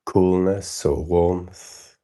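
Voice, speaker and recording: A man leading a live guided meditation, speaking in short unscripted phrases with long pauses and a soothing, instructing manner. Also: low-pitched, fairly even intonation, mellow. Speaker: meditation teacher hosting a livestream.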